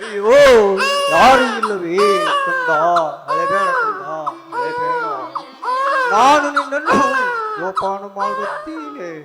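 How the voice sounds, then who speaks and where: A man's voice: extremely high pitch, animated, takes it slowly, heavy background noise, heavily acted. A man playing a fiery, weeping foster father. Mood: impassioned. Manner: declamatory, shouting and weeping by turns. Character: impassioned man who takes in an abandoned baby. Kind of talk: stage drama monologue. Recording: loud music behind.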